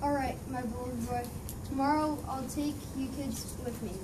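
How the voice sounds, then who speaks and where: A woman playing a patient Egyptian father and priest teaching his children, reading a little haltingly in amateur readers theater. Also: sits high, steady tone, natural voice. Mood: hesitant, fatherly. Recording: distant mic, noisy room ambience.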